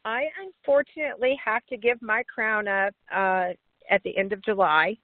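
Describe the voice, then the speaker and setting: A woman's voice: medium pitch, steady tone, normal speed, dry recording. A woman in her fifties, a pageant titleholder chatting as an interview guest in a folksy, talkative way, often laughing. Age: 50s. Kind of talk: casual interview chat. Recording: tinny phone line, dry.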